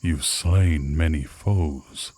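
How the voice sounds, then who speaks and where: A man playing a gruff, predatory werewolf scout in an ASMR roleplay, with a threatening, taunting delivery. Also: rumbling low, very slow, darkish tone, exaggerated voice. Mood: menacing.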